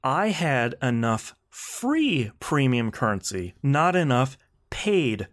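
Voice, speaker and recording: A man with a mid-pitched voice talks casually as a podcast co-host. He is blunt and slangy, leaning into animated grumbling in an informal gamer style. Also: slow. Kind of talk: casual gaming podcast chat.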